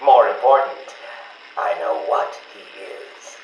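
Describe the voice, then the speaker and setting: Arrogant, volatile American game villain, genius criminal patient. Condescending, very animated delivery, echoey taped interview. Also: male voice, fairly low pitch, slow. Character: arrogant, brilliant male riddle-obsessed criminal mental patient, taunting and volatile. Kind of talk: video game villain voice acting, interview dialogue. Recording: echoey taped interview, faint background noise.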